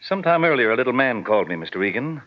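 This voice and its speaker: An actor playing a menacing, falsely courteous middle-aged crime boss in a 1940s radio drama. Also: male voice, mid-range voice, big pitch swings, racing, natural voice. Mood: smoothly menacing. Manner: falsely courteous, veiled threats. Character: smooth, menacing, self-important male racketeer boss, middle-aged. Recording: lo-fi, noisy 1940s radio recording.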